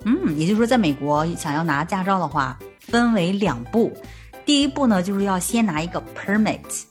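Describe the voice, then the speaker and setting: A woman's voice: medium pitch, animated, fairly quick, faint music behind. A young woman from China hosting a language podcast, switching between Chinese and English with a Chinese accent, friendly and full of mm-hmms. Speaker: host. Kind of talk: bilingual language-learning podcast.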